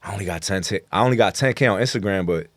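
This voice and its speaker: Deep-voiced New York man, slangy. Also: rapid-fire, fairly bright tone, no echo, studio quality. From New York City. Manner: slangy, profanity-laced, frequent "you know". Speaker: rapper, interview guest. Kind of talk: casual podcast interview talk.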